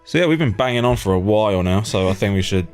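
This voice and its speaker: A man with a low voice hosts a relaxed conversation. He speaks informally and bluntly, sharing opinions as an interviewer. There is faint music behind his voice. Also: quick pace. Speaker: podcast host, interviewer. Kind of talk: casual opinionated chat.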